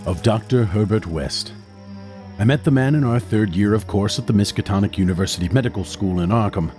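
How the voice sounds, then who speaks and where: An actor playing an educated young medical student and wary accomplice in an audio drama, speaking in a formal, measured way. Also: male voice, fairly low pitch, darkish tone, soft background music, close to an everyday voice. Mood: grave recounting. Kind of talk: audio drama acting and first-person narration.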